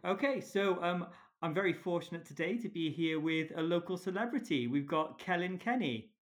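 A man hosting a podcast interview, relaxed and hesitant, with many fillers. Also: high-pitched, normal speed. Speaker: podcast host. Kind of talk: casual interview chat.

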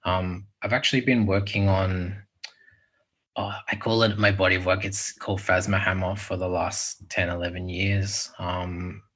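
Very deep, husky, flat-voiced man. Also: unhurried. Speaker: artist guest. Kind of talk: casual panel talk.

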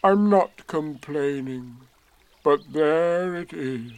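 A man's voice: fairly high pitch, darkish tone, pitched above the performer's own voice. A man voicing a gloomy, self-pitying old grey donkey from a children's storybook, drawing out words with mournful sarcasm. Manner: slow, drawn-out, mournful and sarcastic delivery.

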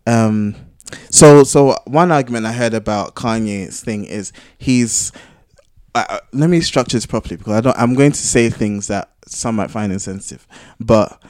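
A man in a casual podcast discussion, arguing his points in a halting way as he thinks them through. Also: lowish voice, a little mellow, smooth, no room sound, studio quality. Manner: halting, thinking aloud, argumentative. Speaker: podcast panellist. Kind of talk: casual discussion among friends.

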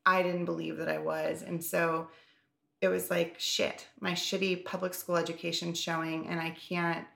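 Low gravelly woman on a podcast, rambly, says like a lot, swears casually. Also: little pitch movement, normal speed, a bit bright. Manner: candid, rambling, heavy on "like" and swearing. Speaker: podcast co-host.

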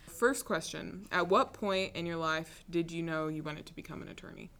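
Low-voiced chatty podcast host woman. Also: normal speed. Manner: loud voice, chatty with many fillers. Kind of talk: podcast interview.

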